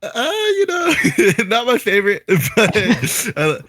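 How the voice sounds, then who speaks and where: A man's voice: high voice, huge pitch swings, slow pace, sharp tone, no echo, clean studio sound. A man chatting casually on a podcast with laid-back, slangy phrasing. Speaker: podcast participant. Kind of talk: casual chat.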